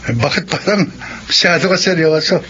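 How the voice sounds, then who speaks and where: A man's voice: a little high, moderate pace, pushed and bright. A man acting in a Filipino radio drama as an evasive, defensive village man with a secret. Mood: casual. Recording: dull lo-fi radio drama, faint noise.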